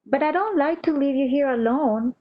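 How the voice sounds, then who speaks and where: Lady doing a dutiful maid in a radio play, real high voice, muffled mic. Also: moderate pace, no echo, natural voice. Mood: dutiful, concerned. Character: dutiful, hesitant young maid attending a sick mistress. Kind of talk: old-time radio romance acting. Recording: dull lo-fi microphone.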